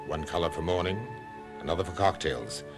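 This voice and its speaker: An actor playing a wary, wry travel companion who also narrates a radio mystery drama. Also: male voice, low-pitched, a little fast, close to an everyday voice. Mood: wry narration. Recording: music behind a radio drama.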